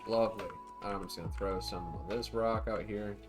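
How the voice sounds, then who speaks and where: A relaxed man talks his way through a game stream with a slightly low voice, rambling and chatting loosely with his viewers. Faint music plays softly underneath. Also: moderate pace. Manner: laid-back, rambling, talks to chat. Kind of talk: casual livestream chat.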